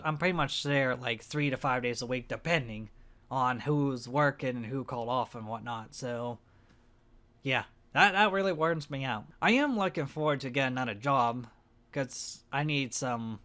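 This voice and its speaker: A young man with a hoarse voice and a stuffed-up nose, rambling hesitantly in a casual vlog for his gaming channel. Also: mid-range voice, fairly bright tone. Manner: rambling, hesitant, self-conscious.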